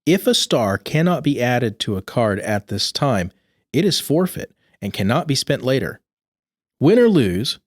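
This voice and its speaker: A man's voice: medium pitch, no echo, clean studio sound. A man reading scripted rules aloud in a precise, instructional manner. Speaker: co-host reading game rules. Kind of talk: scripted rulebook narration.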